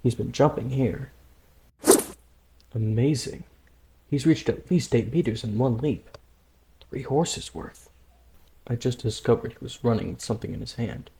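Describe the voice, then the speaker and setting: An actor playing a calm, independent young tribesman, a stubborn younger brother, in a dramatic manga dub with an earnest, measured manner. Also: mid-range voice, gravelly. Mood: intrigued, calm.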